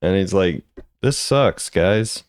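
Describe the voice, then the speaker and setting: Low-voiced, animated gaming guy. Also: slow pace, dry recording, studio quality. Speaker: gaming video host. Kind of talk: casual game commentary.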